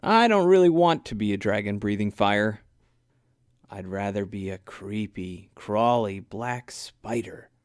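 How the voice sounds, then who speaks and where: An eager little boy bear from a children's storybook, played by a man. He is earnest and exclamatory, with very lively intonation. The delivery is slow and closely recorded, with a dry sound. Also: fairly low pitch, dry recording. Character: eager young boy bear cub, changeable, imaginative.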